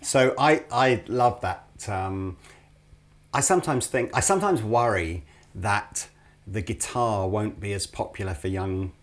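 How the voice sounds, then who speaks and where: A man's voice: on the low side, expressive, measured pace. A man hosting a casual podcast interview, asking long, rambling questions full of fillers. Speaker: podcast host.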